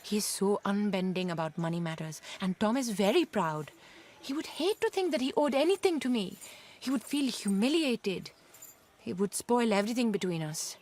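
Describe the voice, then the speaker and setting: An actress playing a proud, girlish young Indian wife in a radio drama, with a theatrical, coquettish and excitable manner. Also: mid-range voice, moderate pace, close to an everyday voice. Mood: earnest, confiding.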